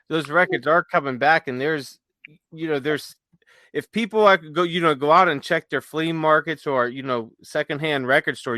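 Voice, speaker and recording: A man's voice: on the high side, bright, forceful tone, dry recording. A man co-hosting a podcast in casual chat, gushing with enthusiasm. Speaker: podcast co-host.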